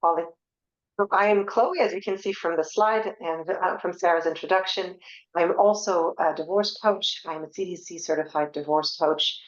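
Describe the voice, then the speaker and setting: A woman with a French accent, a divorce coach, speaking in a measured way with fillers in a webinar. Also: fairly low pitch, echoey room, lo-fi sound. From France. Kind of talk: webinar presentation.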